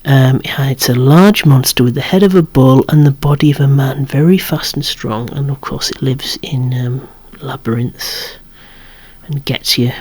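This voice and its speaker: Hushed, breathy ASMR whisperer. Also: medium pitch. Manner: hushed, unhurried, musing with wry asides. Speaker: toy collector presenting a collection. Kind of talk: whispered ASMR show-and-tell.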